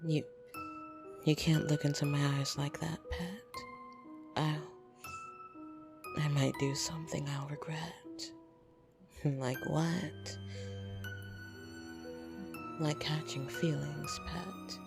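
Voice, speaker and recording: A woman voicing a lonely, flirtatious vampire in an ASMR roleplay, soft and breathy, teasing and intimate with hesitant pauses, tender yet easily irritated. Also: deep voice, steady tone, full character voice. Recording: soft piano music behind.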